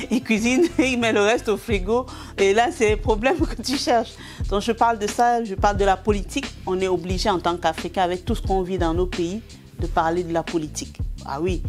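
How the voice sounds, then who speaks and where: Middle-aged woman, Cameroonian French accent, comedian giving an interview, animated and laughing, mid pitch, faint music underneath. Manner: animated, laughing often, many restarts and fillers. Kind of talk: interview, telling anecdotes.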